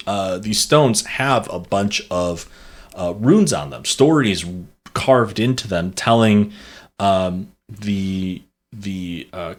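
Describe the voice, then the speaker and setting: Low-voiced man rambling through a history topic. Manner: rambling, many fillers and restarts, self-correcting. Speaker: podcast co-host presenting the topic. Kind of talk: casual history podcast chat.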